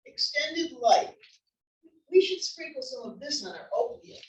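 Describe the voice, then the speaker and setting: A woman's voice: high-pitched, animated, measured pace. A woman reading the part of a stylish, teasing, affectionate wife in her seventies in a comedy table read. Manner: wry, teasing. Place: library room reading before an audience. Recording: echoey room, distant mic.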